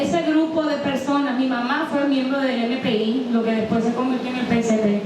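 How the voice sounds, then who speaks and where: A Puerto Rican woman in her forties with a Puerto Rican Spanish accent, giving a passionate speech at an outdoor community forum, repeating herself and slipping into English words. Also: high voice. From Puerto Rico. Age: 40s. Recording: echoey outdoor recording from far off, loud noise.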